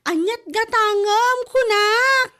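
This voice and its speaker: Very high, bright Ilocano woman played by an actress. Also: takes it slowly, faint music behind, exaggerated voice. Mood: teasing. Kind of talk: comedy drama acting.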